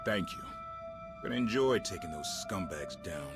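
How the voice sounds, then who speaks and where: A man's voice: low voice, expressive, normal speed. An actor playing an earnest, streetwise male officer in a video game, plainspoken and determined. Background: loud game music.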